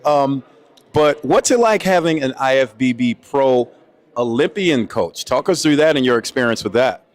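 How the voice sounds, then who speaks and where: A man in his fifties interviewing athletes at a contest, enthusiastic and playful, asking quick questions. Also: mid-range voice, lively intonation. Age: 50s. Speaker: event interviewer. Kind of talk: upbeat event interview.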